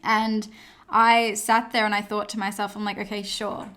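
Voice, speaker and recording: Flat-toned woman podcast co-host. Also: mid-range voice, moderate pace. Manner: earnest, repeats for emphasis. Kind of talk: casual podcast chat.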